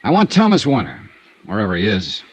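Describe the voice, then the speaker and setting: A man's voice: fairly low pitch, huge pitch swings, a little fast. An actor playing a confident, dry male insurance investigator who also narrates, in a 1950s radio drama. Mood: probing. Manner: dry, probing, understated.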